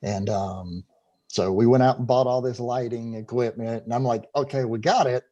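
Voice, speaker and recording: A man's voice: medium pitch, steady tone, normal speed, dark tone, muffled recording. A middle-aged man, talking as a guest on a video call interview, rambling and repeating words as he goes. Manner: talkative, stumbles and repeats words, laughs easily. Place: home room.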